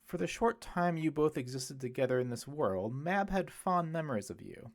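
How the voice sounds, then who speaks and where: A man voicing a genial older priest, a wry former hippie who talks in gently eloquent, wordy phrases for an audiobook. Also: on the high side, lively intonation, moderate pace, voice pushed up, close to an everyday voice. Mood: gentle, reflective.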